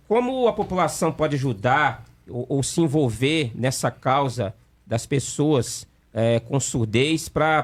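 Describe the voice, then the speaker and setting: A male radio host in a studio asks his questions with a mid-range voice. He hesitates often and fills gaps with drawn-out fillers while he finds his words. The talk sounds like an unscripted live broadcast. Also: mid-range voice. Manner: halting, with many "é" fillers and restarts. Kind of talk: radio interview hosting.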